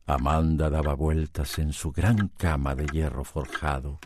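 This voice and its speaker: A man's voice: extremely low pitch, dark tone, no echo. A man narrating a radio serial in a dramatic scripted style. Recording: music under the voice. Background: music.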